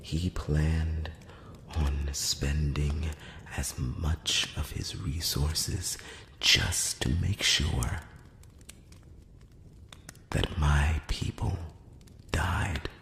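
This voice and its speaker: A man voicing a solemn, probing elf emissary in a breathy whisper, speaking formally and deliberately in a close-up ASMR fantasy roleplay. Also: rumbling low, steady tone, fairly bright tone, reverberant, a little hiss or hum, full character voice. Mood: grave, measured. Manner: slow, deliberate, formal, with long pauses. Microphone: close-up microphone.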